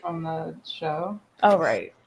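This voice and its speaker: A woman's voice: sits low, sing-song, slow pace, gravelly, crowd noise. A woman chatting casually with friends in an online hangout. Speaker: hangout participant. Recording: faint background noise.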